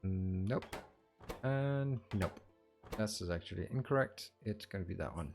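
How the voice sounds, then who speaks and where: A man's voice: low-pitched, expressive, measured pace, darkish tone, faint music behind. A man streaming a game tutorial, chatting casually with viewers and thinking aloud as he builds.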